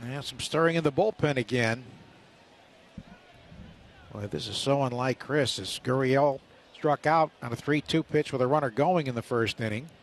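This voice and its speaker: A man's voice: medium pitch, normal speed. A man working as a radio announcer, calling live baseball play-by-play.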